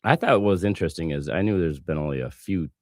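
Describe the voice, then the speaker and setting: A man hosting a casual finance podcast, hoarse from a cough, relaxed and jokey. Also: deep voice, fast talker. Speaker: podcast host.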